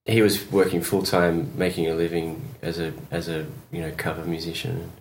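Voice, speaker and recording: A man's voice: deep voice, level tone, moderate pace, creaky, slight background noise, low-quality recording. A man from Australia, partly raised in England, casually recounting memories as an interview guest. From born in Perth, Australia, partly raised in England. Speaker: interview guest, touring drummer.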